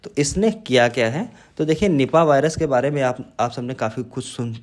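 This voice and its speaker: A man gives an informal exam-prep lecture in a mid-pitched voice. He sounds like he has a bad cold and a sore throat. He often punctuates his explanations with little check-in questions to his students. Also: normal speed. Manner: frequent check words like "right?" and "okay?". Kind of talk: informal lecture on current affairs.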